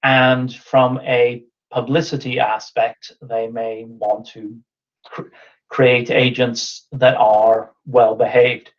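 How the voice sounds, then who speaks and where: A man's voice: mid-range voice, level tone, slow pace. A man in his thirties giving an online talk and answering questions, thinking aloud with restarts and fillers, over a webcam video call. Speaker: invited speaker. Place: indoor room with whiteboard. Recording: dull lo-fi video call audio.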